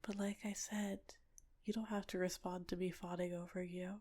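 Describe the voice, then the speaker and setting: Woman acting as lovestruck kitsune wife, female, ASMR roleplay, breathy whispery murmur, very even pitch, tender, possessive, soothing. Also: moderate pace, natural voice. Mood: loving, soothing. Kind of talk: intimate ASMR roleplay, whispered and soft-spoken.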